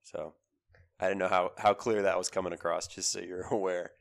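A very low, smooth male voice, thickened by a cold. He co-hosts a casual sports podcast and talks in an animated, emphatic way, often repeating his points. He sounds like a man in his thirties. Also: fairly quick. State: has a cold, voice lower than usual. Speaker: podcast co-host.

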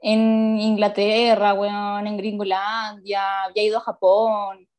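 A woman's voice: mid-range voice, slow pace. A woman in her twenties with a Chilean accent, telling a story in a casual chat, full of slang and laughter. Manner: animated, slangy, laughs often.

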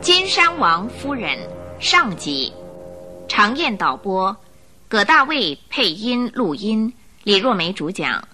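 A woman narrating a radio drama in an expressive, scripted storytelling style. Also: mid-range voice, slow, over music.

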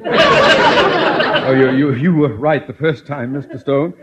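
Pompous radio-sitcom school principal, swooping delivery. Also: male voice, medium pitch, wildly swooping pitch, takes it slowly, over a noisy crowd. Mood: pompous, ingratiating. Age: middle-aged. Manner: pompous, wordy, theatrical. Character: pompous, scheming, fawning middle-aged school principal. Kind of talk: 1950s radio sitcom acting. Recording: muffled, noisy old-time radio recording.

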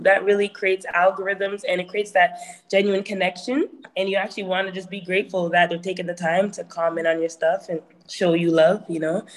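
A woman speaking casually as a musician sharing practical tips. She talks in a loose, chatty way, filling her sentences with "you know" and "like". Her pitch sits in an ordinary middle range. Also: rough recording. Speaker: musician giving advice. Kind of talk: casual advice talk.

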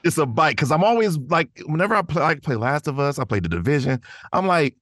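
A man's voice: fairly high pitch, sing-song, a little fast, a bit bright, no room sound, clean studio sound. A man chatting casually as a podcast guest, easygoing and thinking aloud as he goes. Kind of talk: casual chat.

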